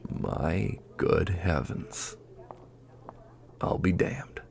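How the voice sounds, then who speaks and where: Man playing a grave, low, gravelly old master. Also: sing-song, very slow, a little mellow, slight background noise, heavily acted. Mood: awed, grave. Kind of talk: fantasy audio drama roleplay.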